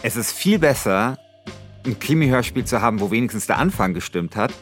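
A man's voice: mid-range voice, expressive, a little fast, faint music behind. A man hosting a podcast, moving between casual chat and scripted narration. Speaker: podcast host and author.

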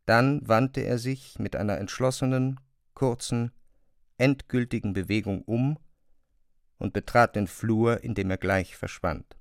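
Male narrator, gravelly edge, medium pitch, deliberate phrasing, literary prose, dry studio sound. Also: steady tone, dry recording. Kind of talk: literary audio drama narration.

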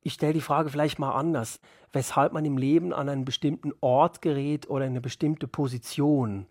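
A male writer talks as an interview guest. His voice is slightly high and recorded very dry. He answers reflectively, stretching the words he stresses. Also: steady tone, normal speed, darkish tone, dry recording.

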